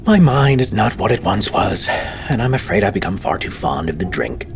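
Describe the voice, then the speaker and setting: Old drunken bard played by a man: humble, grateful, theatrical, highly animated pitch, some background noise, fantasy storybook. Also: creaky. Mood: humbled, grateful. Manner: breathless, halting, theatrical.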